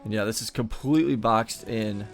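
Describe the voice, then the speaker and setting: Guy casting a live strategy match, laid back, thinking out loud, voice getting worn, bit of quiet music behind. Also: on the low side, normal speed, creaky. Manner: easygoing, thinking aloud with fillers. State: losing his voice. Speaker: game caster. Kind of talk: live esports commentary.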